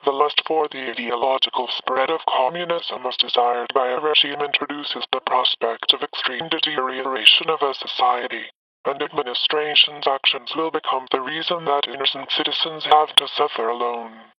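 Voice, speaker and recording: A man's voice: medium pitch, steady tone, normal speed, no room sound, distant mic, lightly acted. A synthetic man's voice playing a grave American president giving a formal, stilted address to the nation. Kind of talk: formal scripted political address. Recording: dull lo-fi radio-like broadcast.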